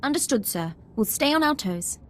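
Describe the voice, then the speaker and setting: An actress playing a brisk, dryly wry female military squad leader in a video game. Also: fairly high pitch, sing-song, a bit bright, a little hiss or hum. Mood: confident.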